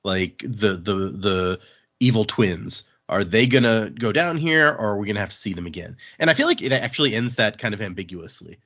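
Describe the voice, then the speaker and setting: Low-voiced man hosting a casual podcast. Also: expressive, normal speed, fairly bright tone, lo-fi sound. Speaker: podcast host. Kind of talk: casual book discussion.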